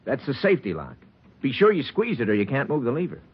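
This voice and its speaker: An actor playing a helpful, plain-spoken elevator operator who explains things step by step in a 1940s radio drama. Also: male voice, mid-range voice, wildly swooping pitch, quick pace, slight background noise. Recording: muffled old radio recording.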